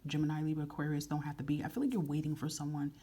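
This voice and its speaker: A soft-spoken woman reading tarot cards to camera in a casual, frank way, often repeating phrases. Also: low voice, steady tone, racing, husky. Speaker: tarot reader.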